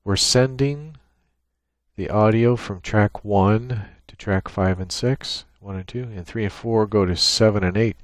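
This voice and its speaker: A man with a deep voice talks through a software setup as a presenter. He explains in a plain, matter-of-fact way, unscripted. The sound is dry, recorded on a USB microphone. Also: measured pace, no room sound. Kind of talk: unscripted screen-share walkthrough. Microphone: USB microphone with gate and compressor.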